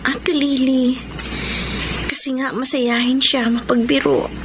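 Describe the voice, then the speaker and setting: A woman's voice: high voice, flat delivery, slow. A woman playing a torn, hesitant, wistful young Filipino woman in a radio romance drama. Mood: confused, wistful. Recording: noisy ambience behind voice.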